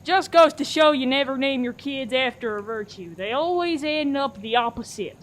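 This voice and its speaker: Woman acting a high-voiced wry survivor. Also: expressive, normal speed, low-quality recording. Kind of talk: farce radio play acting.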